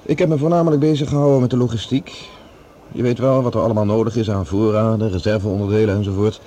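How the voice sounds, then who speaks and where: Low, mellow-voiced friendly male scientist played by an actor. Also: steady tone, fast talker, slight background noise, natural voice. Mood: explaining, businesslike. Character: friendly male Mars project logistics scientist, attentive, thoughtful. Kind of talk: Dutch science fiction radio drama acting.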